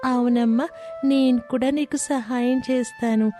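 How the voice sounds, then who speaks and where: A woman's voice: a little high, little pitch movement, moderate pace, dark tone, close to an everyday voice. A woman voicing an eager, trusting young child in a cartoon moral story. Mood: excited. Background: background music.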